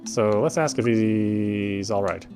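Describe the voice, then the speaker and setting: A man's voice: lowish voice, slow pace, music in the background. A man reading a game's narration aloud with casual commentary, now and then tripping over words. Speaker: let's player.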